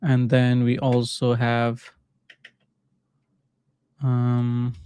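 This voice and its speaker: A man from Pakistan with a Pakistani accent and Urdu as his first language, casually thinking aloud in short halting phrases as he live-streams coding. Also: medium pitch, monotone, dark tone, clean tone. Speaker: livestreaming coder and mentor.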